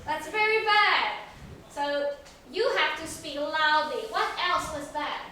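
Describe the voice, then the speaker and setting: Very high-pitched woman teaching in echoey room. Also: slow, sharp tone. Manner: simple, repetitive phrasing with questions to pupils. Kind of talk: classroom instruction to children. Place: classroom. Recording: very echoey room, distant mic, faint noise.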